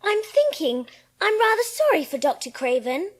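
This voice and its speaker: A woman's voice: very high-pitched, big pitch swings, moderate pace. A woman playing a blunt, forthright young girl in a dramatised audiobook, with a frank, matter-of-fact manner. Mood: frank, scolding.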